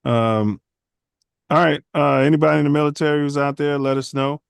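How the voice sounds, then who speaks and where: A man hosts a casual podcast, rambling along in loose slang. His voice is smooth and clear. The sound is dry and close. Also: a little high, steady tone, fairly quick, no echo. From Chicago. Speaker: podcast host. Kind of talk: casual livestream podcast chat.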